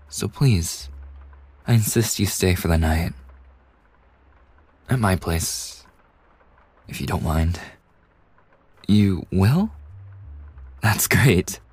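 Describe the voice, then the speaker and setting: Low, husky, gentle young bartender played by a man. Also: no room sound, exaggerated voice. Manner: gentle, reassuring, slightly bashful. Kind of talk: intimate ASMR roleplay acting.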